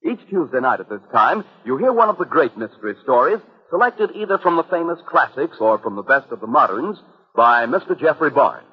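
A male announcer from 1940s radio, with a very husky voice and lively intonation. The recording is muffled and lo-fi. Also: mid-range voice, fairly quick.

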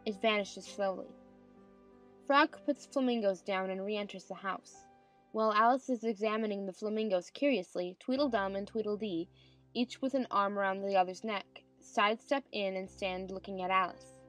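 A woman's voice: medium pitch, moderate pace, faint music behind. A woman narrator reading stage directions in a scripted dramatized audiobook.

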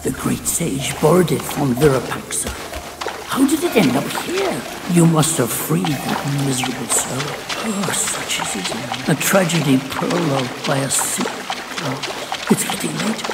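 A man's voice: fairly high pitch, sing-song, measured pace, creaky, full character voice, low-quality recording. A man playing a wry, worldly guide in a video game cutscene, musing in a knowing, theatrical way over music. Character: wry, worldly guide counselling a young monkey. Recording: loud cinematic music behind.

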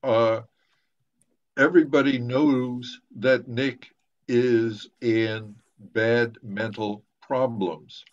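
Older male academic, very slow and deliberate delivery with pauses, dull video-call sound. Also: mid-range voice, fairly even intonation. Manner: halting, deliberate, with long pauses. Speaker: professor moderating a book discussion. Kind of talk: literary discussion, lecturing informally.

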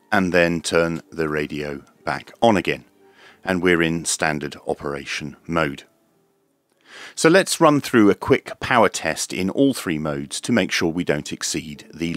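A man's voice: low-pitched, no echo. A man presenting a step-by-step technical demonstration in a methodical, matter-of-fact way. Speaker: presenter of a radio tutorial.

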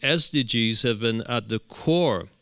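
A middle-aged man speaking on a panel into a handheld mic, halting often with fillers and restarts. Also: medium pitch, creaky, a bit bright, dry recording. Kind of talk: unscripted panel remarks. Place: conference room.

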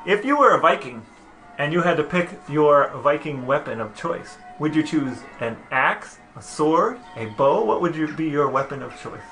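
A man's voice: on the high side, lively intonation, moderate pace, sharp tone, faint music behind. A man co-hosting a casual podcast, bantering and asking questions with dry, joking delivery. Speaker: podcast co-host.

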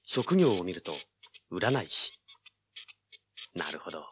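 An actor playing a tough, wary young treasure hunter in an audio drama, with a terse, dramatic delivery. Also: male voice, sits low, big pitch swings, slow pace, slightly rough, no room sound, heavily acted. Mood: determined. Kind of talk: audio drama acting with first-person narration. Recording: music under the voice.

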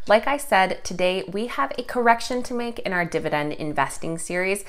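A woman's voice: mid-range voice, normal speed. A young woman hosting a finance channel, talking casually to camera from a home office, a little nasal and congested from a cold. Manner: upbeat, conversational, explains step by step.

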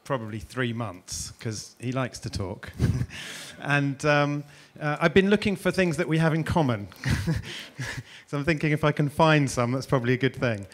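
A man's voice: medium pitch, animated, moderate pace. A middle-aged man from England, chatty and self-deprecating, introducing and interviewing a guest on a conference stage with a handheld mic. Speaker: interviewer and host. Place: conference stage before an audience.

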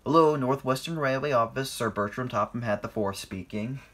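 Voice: medium pitch, moderate pace, fairly bright tone, natural voice. A narrator voicing a blustering, self-important male railway director, formal and spluttering, in a children's story.

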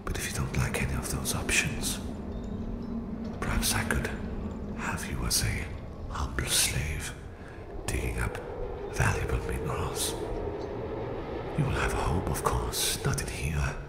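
A sinister Russian mastermind for an ASMR roleplay. His voice is extremely low and thick with gravelly creak, gloating coldly over a captive in deliberate whispers. It rings in a roomy echo. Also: heavily acted. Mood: coaxing menace. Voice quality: very deep, gravelly, breathy whisper. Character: menacing Russian psychic villain, a cold, gloating captor. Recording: roomy, echoing voice.

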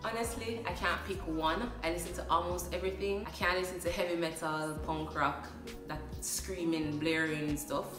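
A woman's voice: lowish voice, steady tone, normal speed, roomy sound, distant mic, over music. A young Jamaican woman chatting playfully in a casual vlog, slipping into patois, recorded on a phone in a small room. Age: young adult. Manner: chatty, playful, laughs often, slips into patois. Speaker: vlogger. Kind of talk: casual get-to-know-me vlog. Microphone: phone camera at a short distance.

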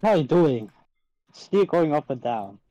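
A man's voice: a little high, very animated, unhurried, warm tone, rough recording. A man speaking English as a third language, giving short, puzzled replies while gaming. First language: not English. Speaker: gaming friend. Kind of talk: casual gameplay chat.

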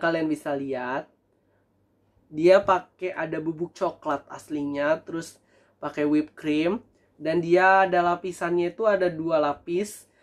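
A young man in a casual food review vlog, talking in a chatty, animated way to his viewers while seated at a table in a small room. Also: high-pitched. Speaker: food vlogger.

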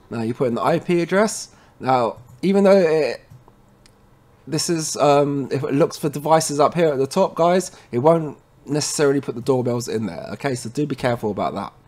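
A man presenting a technical tutorial in an informal way, talking viewers through each step and often checking in with a quick tag word. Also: medium pitch, darkish tone. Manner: informal, addresses viewers as guys, frequent tag okay. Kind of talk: casual screen-recorded how-to walkthrough.

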